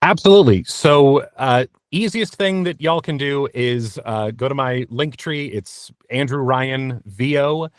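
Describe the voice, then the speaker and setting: A man speaks in a casual interview style with a middling pitch. He is earnest and tends to break off and restart his thoughts. The recording is dry, with very little room sound. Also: measured pace, hardly any pauses, dry recording. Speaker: interview guest, voice actor.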